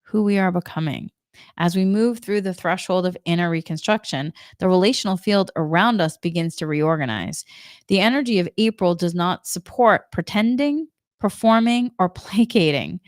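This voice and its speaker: A middle-aged woman with a Boston accent gives gentle, reflective spiritual guidance, often repeating her questions. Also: fairly low pitch, normal speed, dry recording, studio quality. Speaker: spiritual energy guide, Reiki practitioner.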